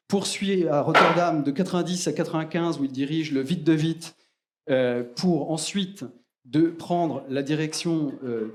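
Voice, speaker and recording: A man's voice: on the high side, a little mellow. A man introducing the guest speaker at a lecture, reading a formal introduction with frequent hesitations.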